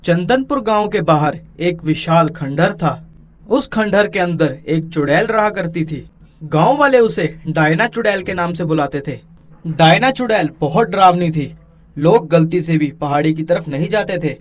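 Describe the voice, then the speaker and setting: A man narrating a scripted animated story for children as its storyteller. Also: on the high side, moderate pace.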